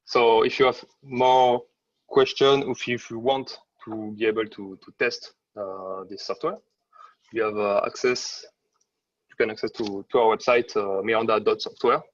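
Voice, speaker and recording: Halting low-voiced man on a muffled distant mic. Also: steady tone. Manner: halting, many fillers and restarts. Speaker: webinar presenter. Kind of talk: software demo presentation. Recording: dull, distant, dry sound.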